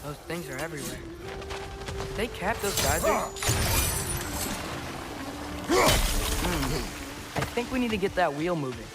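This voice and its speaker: A man's voice: on the high side, big pitch swings, moderate pace, loud music behind the voice. An actor playing an earnest, curious teenage boy adventurer in a video game, with a casual, inquisitive way of talking. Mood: puzzling, focused. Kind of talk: video game dramatic acting.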